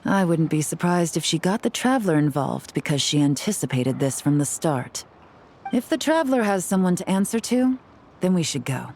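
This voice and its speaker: An actress playing a shrewd, confident female intelligence agent in a video game, assured and deliberate. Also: fairly low pitch, expressive, darkish tone, slight background noise. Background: background music.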